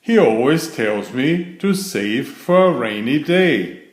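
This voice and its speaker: A man voicing a cheerful, literal-minded housekeeper woman in a children's storybook, in a bright, wondering, sing-song way. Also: medium pitch, lively intonation, takes it slowly. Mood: chirpy. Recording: roomy echo.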